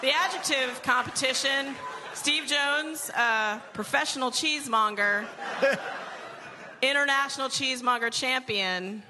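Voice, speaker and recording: Woman radio host, higher voice, live stage show, audience and noise behind. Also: unhurried, a crowd in the background.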